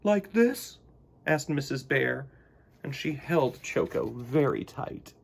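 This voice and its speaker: A man hosting a children's storytime, reading and talking in a theatrical, expressive way. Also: medium pitch, takes it slowly, vocal fry, breathy edge. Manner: theatrical, exclamatory.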